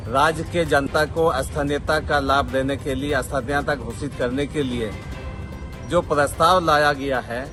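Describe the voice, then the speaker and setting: A man making an unscripted political statement in halting, repetitive phrases. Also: medium pitch. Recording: music over outdoor clip.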